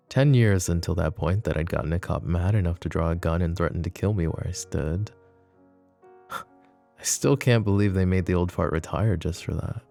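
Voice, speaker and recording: Man playing a deep, gravelly, wry mafia boss. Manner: sardonic, soft-spoken, slow pauses, swearing and sighs. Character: flirty, possessive, wry male mafia boss. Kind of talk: intimate ASMR roleplay spoken to the listener.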